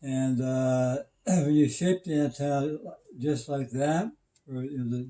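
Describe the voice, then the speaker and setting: Mellow, halting man in his seventies. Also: mid-range voice, fairly even intonation. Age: 70s. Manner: halting, stammering, matter-of-fact. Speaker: retired systems engineer and radio hobbyist. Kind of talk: casual explaining in conversation.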